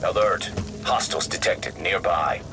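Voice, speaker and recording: A man's voice: sits low, unhurried, over music. A man voicing an impassive artificial intelligence in a video game, giving clipped, emotionless automated alerts. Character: impassive male artificial intelligence system announcer.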